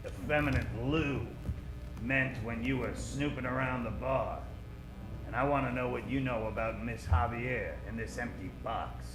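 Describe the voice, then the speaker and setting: A man's voice: mid-range voice, roomy sound, lightly acted, poor audio. A man playing a tough, bullying private detective in an old-time radio crime parody. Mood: incredulous. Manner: tough, threatening, punchy. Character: hard-boiled, bullying male private detective.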